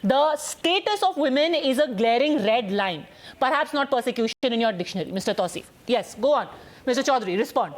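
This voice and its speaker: A woman news anchor moderating a TV debate, brisk and pointed as she challenges guests. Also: fairly high pitch, lively intonation.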